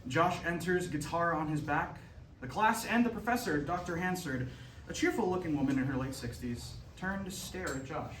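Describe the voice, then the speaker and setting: Heard from a distance in a noisy, echoing hall, a man narrates stage directions from a script. His voice sits a little high. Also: lively intonation, normal speed, fairly bright tone. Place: live staged reading in a hall. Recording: noisy, echoey room from far off.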